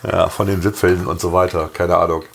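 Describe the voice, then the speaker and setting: A hoarse man with a slight cough, co-hosting a podcast in casual, joking chat and laughing often. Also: sits low, level tone, fairly quick, noisy background. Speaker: podcast co-host.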